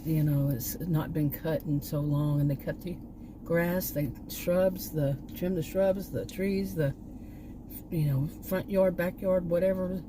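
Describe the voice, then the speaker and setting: A woman vlogger chatting casually, meandering and restarting her sentences, her voice going hoarse. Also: lowish voice, fairly even intonation, unhurried, background noise. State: losing her voice. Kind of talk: rambling casual chat. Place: outdoors.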